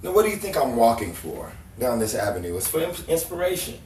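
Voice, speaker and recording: A man's voice: medium pitch, sing-song, fast, a little mellow. A man acting a playful, dreamy ladies' man painter in a 1950s Hollywood-style audio drama, jaunty and bantering. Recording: roomy, distant mic, faint background noise.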